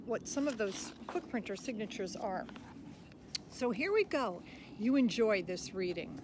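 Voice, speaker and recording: A woman presenting a children's picture book in an expressive read-aloud style, speaking directly to young listeners. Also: a little high. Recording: clear background noise, animal sounds.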